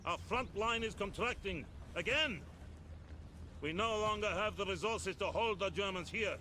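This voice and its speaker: High-pitched, stern Soviet colonel. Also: male voice, unhurried, bright, forceful tone, slight background noise. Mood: stern, commanding. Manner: commanding, authoritative. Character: stern, contemptuous Soviet NKVD colonel in wartime. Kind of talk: dramatic video game cutscene acting.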